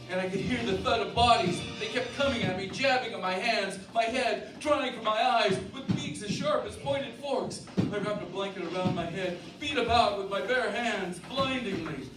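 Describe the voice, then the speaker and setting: Male actor as protective, shaken family man, old-time radio drama. Highish voice, distant, roomy sound with music. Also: lightly acted. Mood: frantic, urgent narration. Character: shaken, protective husband and father battling birds. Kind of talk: radio drama acting with first-person dramatic narration.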